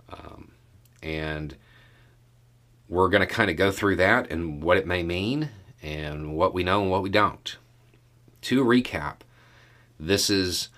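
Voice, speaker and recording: A man giving casual solo news commentary as a host, in a measured, halting way with deliberate pauses. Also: deep voice, fairly bright tone.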